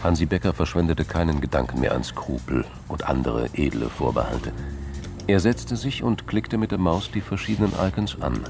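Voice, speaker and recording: A man narrates a crime drama in an extremely deep voice. It has a gravelly creak, and music plays clearly behind his scripted reading. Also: animated, moderate pace. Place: studio.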